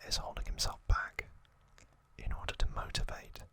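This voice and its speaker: A man hosting ASMR, giving a hushed, slow recap in short phrases in a breathy, unvoiced whisper. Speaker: ASMR host.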